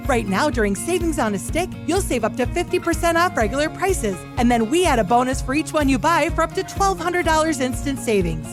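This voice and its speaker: A woman's voice: high-pitched, lively intonation, a little fast, few pauses. A woman reading a scripted commercial as the spokesperson for a business. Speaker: advertisement spokesperson. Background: music.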